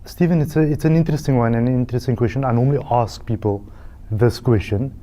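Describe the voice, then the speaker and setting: A man's voice: medium pitch, fast talker, mellow. A man in his thirties from South Africa with a South African accent, chatting as a guest on a talk show and laughing often. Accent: South African English. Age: 30s. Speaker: TV channel CEO, guest. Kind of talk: casual chat.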